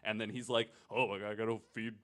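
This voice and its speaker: A man's voice: on the low side, little pitch movement, fast talker, a bit bright. A man hosting a casual podcast, explaining things in a joking way. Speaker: podcast host.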